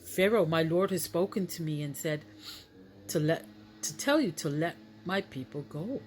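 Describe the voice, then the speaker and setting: A woman's voice: low-pitched, moderate pace, a little hiss or hum, natural voice. A woman voicing an earnest male shepherd prophet for a children's story, firm and plain-spoken.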